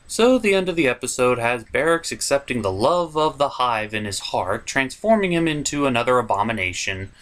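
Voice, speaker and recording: A man's voice: mid-range voice, a bit bright. A man giving a comedic recap as a cartoon reviewer, sarcastic and mocking in manner.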